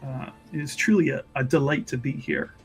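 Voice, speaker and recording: A man's voice: mid-range voice, big pitch swings, brisk, gravelly, faint music behind. A man voicing a polite, fussy, well-traveled Chantry woman investigator in a tabletop game, speaking formally and hesitantly with repeated words. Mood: chatty, admiring. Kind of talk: tabletop roleplay dialogue.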